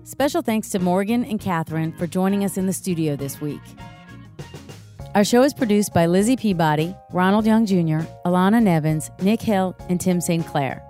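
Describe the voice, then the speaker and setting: Woman, podcast host, slightly low pitch, conversational delivery, light music underneath. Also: normal speed, mellow. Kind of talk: conversational interview and show sign-off.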